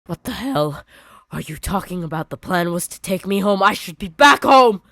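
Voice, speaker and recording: A woman as a gruff, forceful, distrustful male castaway. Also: lowish voice, animated, normal speed, no echo, studio quality. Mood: furious. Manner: gruff, confrontational, demanding. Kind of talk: character dialogue in audio fiction.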